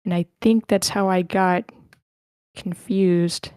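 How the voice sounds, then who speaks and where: A woman with a clear, smooth voice hosts an online read-through. She talks casually and informally, apologetic in manner. The sound is dry and close, with no room around it. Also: fairly low pitch, steady tone, takes it slowly, a little mellow, no room sound. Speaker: host of an online screenplay table read. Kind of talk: casual online meeting chat.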